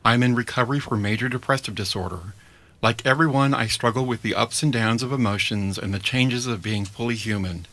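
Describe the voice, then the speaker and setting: A man hosting a community radio program, reading a measured, prepared opening and then interviewing a guest. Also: medium pitch, sharp tone. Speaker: radio host. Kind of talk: scripted intro and interview. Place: radio studio.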